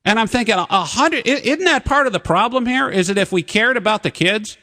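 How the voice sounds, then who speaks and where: A man's voice: sits high, animated, bright, forceful tone. Pushy male talk radio host. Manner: pushy, interrupts guests; reads contract terms off in a rapid list. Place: radio studio. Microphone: studio broadcast mic.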